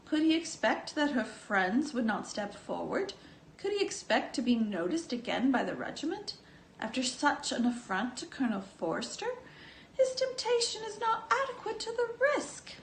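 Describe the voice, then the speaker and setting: A woman's voice: high-pitched, big pitch swings, normal speed. A woman reading a classic novel aloud, acting out its dialogue as an amateur narrator. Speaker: volunteer audiobook reader. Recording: echoey room with faint background noise.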